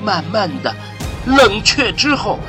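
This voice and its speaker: Male narrator, high voice, slow solemn delivery, weighty pauses, dramatic sci-fi radio play, prominent music behind. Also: lively intonation.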